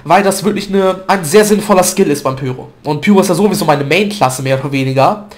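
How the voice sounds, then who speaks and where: A man's voice: sits high, quick pace. A man with a stuffy nose from a cold, chatting loosely through gameplay commentary with fillers and self-corrections, recorded at a desk mic at home. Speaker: gaming video commentator.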